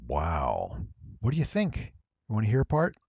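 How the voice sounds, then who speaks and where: A man giving a casual, rambling software walkthrough, thinking aloud and playfully addressing his viewers. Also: fairly low pitch, wildly swooping pitch, moderate pace, gravelly, dry recording, lo-fi sound. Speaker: tutorial presenter and voice actor.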